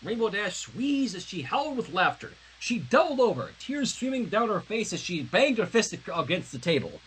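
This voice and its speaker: A man reading fan fiction aloud on a livestream in an expressive, dramatic way, with casual asides. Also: high-pitched, normal speed, husky, rough recording. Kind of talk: animated story narration on a livestream.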